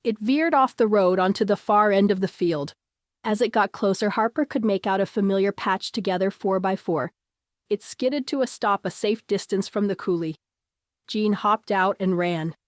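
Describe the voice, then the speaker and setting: A woman's voice: medium pitch, moderate pace, no room sound, clean studio sound. A woman reading a scripted story as a dramatic audiobook narrator.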